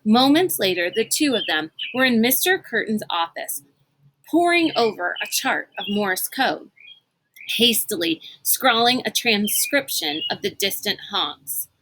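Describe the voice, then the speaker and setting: A woman reading a storybook aloud as an expressive narrator. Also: medium pitch, measured pace, background noise.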